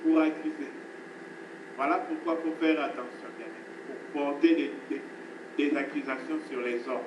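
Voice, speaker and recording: A man preaching with insistent repetition, his fairly high voice heard from across an echoing room. The recording is noisy and distant, with the space ringing around him. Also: steady tone, normal speed, muffled recording. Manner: emphatic preaching, repeats phrases, addresses listeners as beloved. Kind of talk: sermon.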